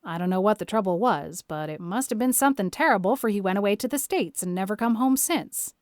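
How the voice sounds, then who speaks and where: A woman's voice: medium pitch, expressive, darkish tone, dry recording, studio quality, lightly acted. Woman acting a stern, plain-spoken older country woman. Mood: gossipy, dry. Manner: brisk, scolding, plain-spoken, opinionated. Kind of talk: audio drama dialogue.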